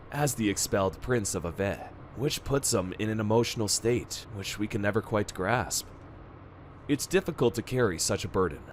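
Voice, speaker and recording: A man's voice: on the low side, slight background noise, natural voice. A man acting a solicitous, formal minder to a young prince, speaking politely in a fan radio play.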